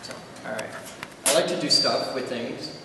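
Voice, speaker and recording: A man's voice: mid-range voice, moderate pace, vocal fry. A man giving a casual, step-by-step hands-on demonstration in an informal, joking way. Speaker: instructor, video host. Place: indoor room. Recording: very echoey room, distant mic, noisy.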